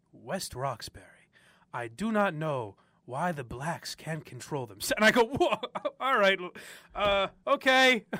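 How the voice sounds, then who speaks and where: A man's voice: sits high, bright, forceful tone, no room sound. A young man from the area, hosting opinionated talk radio and ranting with frequent laughs. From Boston area. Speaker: sports radio host.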